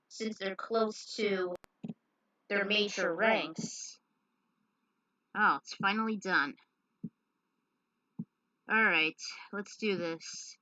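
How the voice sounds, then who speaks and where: A woman's voice: medium pitch, sharp tone, no room sound, muffled recording. A woman narrating a story and chatting casually over gameplay. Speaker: storyteller and gamer reading own fan fiction.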